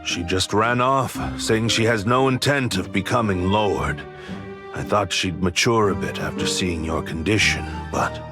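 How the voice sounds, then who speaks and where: A man's voice: lowish voice, unhurried, over music, natural voice. A man playing an aging noble father in a game, grave and formal, stern but caring. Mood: troubled. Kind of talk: anime-style game voice acting.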